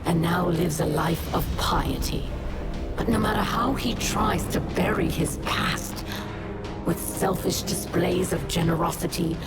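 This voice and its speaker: Low female voice, slow, menacing alien pirate captain, solemn video game storytelling, loud music behind. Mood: bitter, accusing. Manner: solemn, deliberate, ominous storytelling. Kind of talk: dramatic scripted game narration.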